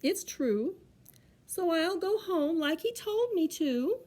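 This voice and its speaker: A woman voicing a big, muddled, good-natured male bear in a children's storybook, with a simple, earnest, drawn-out delivery. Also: very high-pitched, expressive, darkish tone, voice pushed up, exaggerated voice. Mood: confused, earnest.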